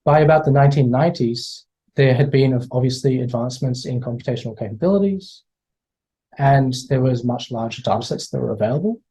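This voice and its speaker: A man's voice: mid-range voice, mellow, husky, rough recording. Hesitant Australian man lecturing. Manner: hesitant, repeats words, many fillers. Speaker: guest speaker. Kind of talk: online lecture. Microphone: video call.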